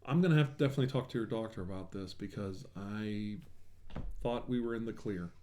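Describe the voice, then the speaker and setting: Low, mellow ASMR man playing a medic. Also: a little fast, slight background noise. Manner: reassuring, attentive bedside talk. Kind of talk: soft ASMR medical roleplay.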